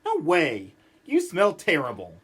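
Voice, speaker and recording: A man voicing a cranky, stubborn, exasperated man who grumbles and rants in an animated storybook read-aloud. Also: a little high, a little slow, breathy edge, pitched below the performer's own voice, exaggerated voice. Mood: curt refusal. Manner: exasperated, ranting, emphatic.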